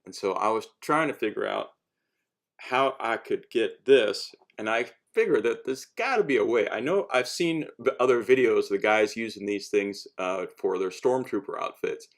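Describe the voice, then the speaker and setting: A man's voice: on the low side, lively intonation, normal speed, creaky. A man giving a casual how-to talk as a hobbyist showing homemade gear, rambling and hesitant, often breaking off to restart. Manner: rambling, hesitant, self-interrupting.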